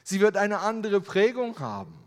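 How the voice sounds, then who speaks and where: A man's voice: high voice, very animated, normal speed. A German man giving a sermon with slides, in a conversational way, full of rhetorical questions. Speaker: preacher. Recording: long hall reverb.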